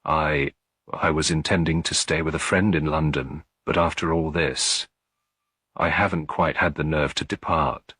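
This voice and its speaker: A man's voice: extremely low pitch, fairly even intonation, measured pace, pushed and bright, breathy edge, dry recording, clean studio sound, full character voice. A man voicing an unhappy younger woman in a detective story, speaking in a subdued, hesitant, confessional manner. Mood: regretful, hesitant. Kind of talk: detective story character reading.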